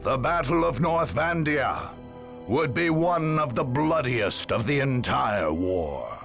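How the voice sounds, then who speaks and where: An actor playing a grave, solemn chronicler of a galactic war, giving scripted video game narration in a gravelly voice. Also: male voice, mid-range voice, expressive, takes it slowly, fairly bright tone, full character voice. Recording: narration over prominent game music.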